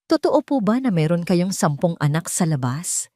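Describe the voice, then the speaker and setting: A woman's voice: on the low side, big pitch swings, moderate pace, warm tone, dry recording, clean studio sound. Probing, polite news reporter played by a woman.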